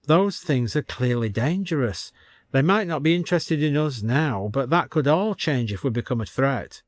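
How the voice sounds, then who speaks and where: A man's voice: medium pitch, expressive, normal speed, no echo, natural voice. Man voicing a sensible, organised young-sounding village woman. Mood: cautious. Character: earnest, organised, practical village woman, cautious problem solver.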